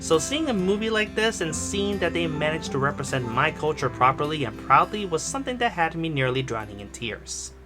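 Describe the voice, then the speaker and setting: Animated, higher-pitched man reviewing films. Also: brisk, hardly any pauses. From Puerto Rico. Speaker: movie reviewer. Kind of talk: scripted review narration, casual and profane. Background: music behind the voice.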